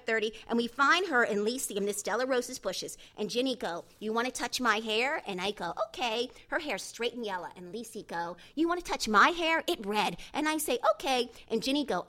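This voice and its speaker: A woman voicing an excitable seven-year-old boy in an audiobook, telling things in childlike broken grammar and eager run-on sentences. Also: fairly high pitch, voice pushed up. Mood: eager, delighted. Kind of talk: audiobook character voice.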